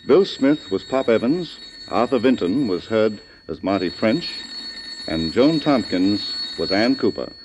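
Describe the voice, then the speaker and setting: A man's voice: medium pitch, darkish tone. A man announcing and hosting in scripted 1940s radio style. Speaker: announcer and host in librarian persona. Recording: muffled, noisy vintage radio recording.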